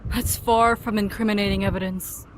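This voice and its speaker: A woman's voice: medium pitch, steady tone, moderate pace, a little hiss or hum, lightly acted. A woman playing a level-headed, outspoken scientist in an audio drama, frank and reasoning. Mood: challenging. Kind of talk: natural audio drama acting.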